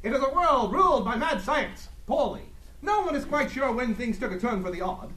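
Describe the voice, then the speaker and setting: A man's voice: extremely high pitch, sing-song, moderate pace, reverberant, loud music behind the voice. A man playing a mock-dramatic announcer, narrating a comic radio serial in a grandiose, tongue-in-cheek style. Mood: wry, grandiose.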